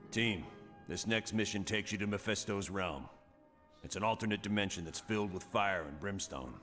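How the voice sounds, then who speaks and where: A no-nonsense commander briefs the team in clipped, direct words, an actor voicing him. His voice is low. Long reverb hangs on it over faint music. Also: moderate pace, fairly bright tone, close to an everyday voice. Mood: briefing. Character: gruff male spy commander, no-nonsense. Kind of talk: mission briefing.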